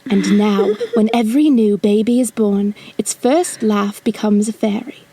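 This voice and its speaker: Woman as slow-spoken, cocky young boy. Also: medium pitch, mellow, husky, low-quality recording. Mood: wistful, confiding. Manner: theatrical, childlike, cocky. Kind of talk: audio drama acting, also narrating own stage actions.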